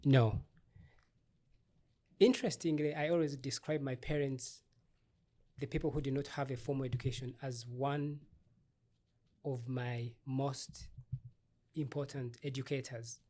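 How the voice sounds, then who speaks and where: A middle-aged man from Uganda, an obstetrician-gynecologist, telling his life story in an interview in a thoughtful, measured way with small restarts. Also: mid-range voice, steady tone, dark tone.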